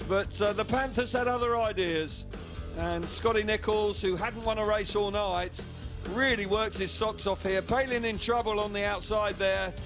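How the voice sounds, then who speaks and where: High-voiced male race analyst. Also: fairly even intonation, music in the background. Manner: measured, analytical race summary. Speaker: co-commentator. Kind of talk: sports commentary.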